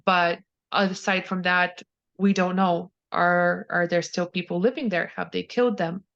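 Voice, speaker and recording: A woman's voice: lowish voice, steady tone, a little slow, creaky, fairly bright tone. A woman from Ukraine answering interview questions, hesitant, with many fillers and restarts. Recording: dull lo-fi audio.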